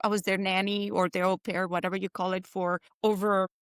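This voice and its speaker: A woman around sixty with a French accent and Spanish as her first language, chatting as a guest in an interview. She talks in a lively, chatty way at a middling pitch. The sound is close and dry. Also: brisk, clear, resonant tone, no echo. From Spain and Portugal. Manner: lively, chatty, acts out other people's lines. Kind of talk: casual storytelling in an interview.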